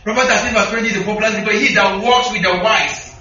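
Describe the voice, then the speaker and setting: A man's voice: high-pitched, fairly even intonation, quick pace, pushed and bright. A man from northern Nigeria with a Nigerian accent, preaching a sermon and often putting questions to the congregation. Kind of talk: sermon with call and response. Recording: echoey, distant, slightly muffled hall recording.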